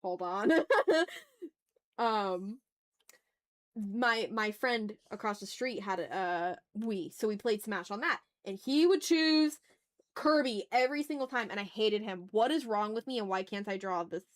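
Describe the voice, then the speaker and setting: A woman chatting casually as she hosts an art livestream, talking to her viewers in an animated, slangy, teasing way with some swearing. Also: mid-range voice, a little slow. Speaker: livestream host and artist. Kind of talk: casual art livestream chat, reading and answering chat messages.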